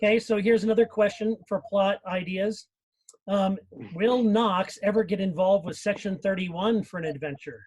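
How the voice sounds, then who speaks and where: A man's voice: sits high, steady tone, normal speed, darkish tone, poor audio. A man hosting a casual live question-and-answer session, putting questions to a panel. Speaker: host putting questions to a cast.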